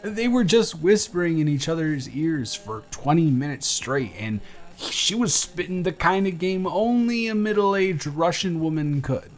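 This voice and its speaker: A man's voice: fairly high pitch, expressive, a little slow. A young man playing a chatty, friendly barista who is sweet on a friend, in an intimate one-sided audio roleplay with casual, slightly stammering delivery. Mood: amused, animated. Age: young adult. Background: music.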